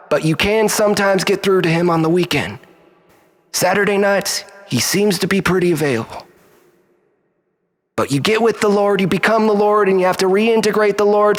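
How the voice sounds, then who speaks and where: Unhinged male monologuist played by a man: fairly high voice, self-loathing, rambling like a mock-philosophical preacher. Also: natural voice. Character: unhinged, self-loathing male storyteller pleading with his lover.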